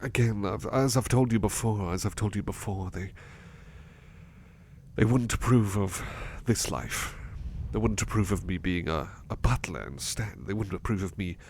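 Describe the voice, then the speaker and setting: A man playing a devoted, anxious butler with a haunted past in an intimate ASMR roleplay, speaking softly and haltingly with stammers. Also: on the low side, slight background noise, lightly acted. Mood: troubled, reluctant. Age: middle-aged or younger adult. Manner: hesitant, stammering, soft-spoken, drifting into whispers.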